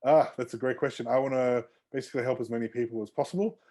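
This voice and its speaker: A man with a mellow, relaxed tone and a mid-range pitch, speaking as an interview guest. He rambles in a friendly way, circling back on himself with plenty of fillers and restarts. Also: fast. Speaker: osteopath guest. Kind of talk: casual interview chat.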